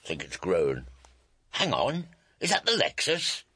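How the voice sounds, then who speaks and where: Fussy self-important grandad in a radio comedy, really low husky voice, swoops about, bit hesitant. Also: male voice, huge pitch swings, measured pace, sharp tone, no echo, studio quality, exaggerated voice. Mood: flustered, indignant. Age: older. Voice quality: gravelly, husky. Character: fussy, bumbling, self-important grandfather, former hotel assistant manager. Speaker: actor, lead and narrator. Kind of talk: radio comedy drama acting and monologue.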